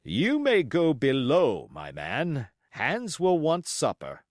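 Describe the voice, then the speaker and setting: A stern sea captain, acted out by a male storybook reader, blunt and dutiful. His pitch swings widely as he drives each formal, curt phrase home, in a very dry recording. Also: wildly swooping pitch, slow, dry recording, exaggerated voice. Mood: barking orders.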